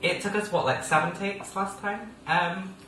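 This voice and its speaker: A man's voice: sits high, fairly even intonation, moderate pace, bright, forceful tone. A sixteen-year-old man hosting a casual podcast, playful and rambling, laughing often as he talks. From England. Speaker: podcast host. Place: indoors at a table. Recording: roomy sound from a distance with background noise.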